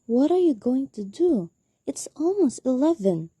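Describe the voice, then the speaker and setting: Young woman doing worried needy sick wife home alone, radio drama, really dark muted voice, dry recording. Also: high-pitched, big pitch swings, unhurried, slightly rough, no room sound, exaggerated voice. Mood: uneasy, defensive. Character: anxious, demanding invalid wife, alone at night. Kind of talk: student radio drama acting.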